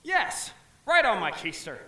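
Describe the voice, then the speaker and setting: Stage musical, actor as a goofy lovesick groom, slow and mid-pitched, far off in an echoey hall. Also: male voice, huge pitch swings, pushed and bright, full character voice. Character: giddy blindfolded young groom.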